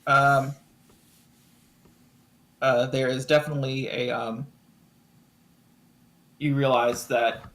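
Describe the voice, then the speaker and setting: A man narrating a tabletop game as game master, improvising with frequent ums and pauses. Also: medium pitch, level tone, a bit bright.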